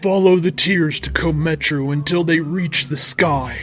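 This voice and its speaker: Man as calm creature guide, male, measured and subdued, cautioning, fantasy fan fiction, faint music. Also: a little high. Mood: solemn. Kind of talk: dramatic fan fiction reading.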